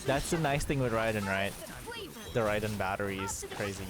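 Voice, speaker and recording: A man's voice: fairly low pitch, brisk. A man chatting casually as a game streamer, often repeating and rephrasing himself. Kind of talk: casual gaming chat.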